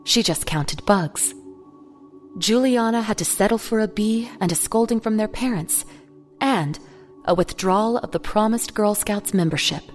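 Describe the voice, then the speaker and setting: A woman's voice: medium pitch, measured pace, faint music behind. A woman reading scripted narration for an audio drama.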